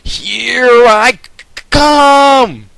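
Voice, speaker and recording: A man's voice: very high voice, very slow, vocal fry, clean tone, no echo, pitched above the performer's own voice, exaggerated voice. A man voicing an eager young male space pilot hero who exclaims in alarm. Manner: excitable, exclaiming.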